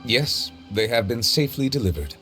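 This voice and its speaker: An actor playing a dignified, reserved chief judge in a video game, speaking in a measured, precise, formal manner. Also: male voice, mid-range voice, big pitch swings, sharp tone, long reverb tail, faint music behind. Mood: composed, formal.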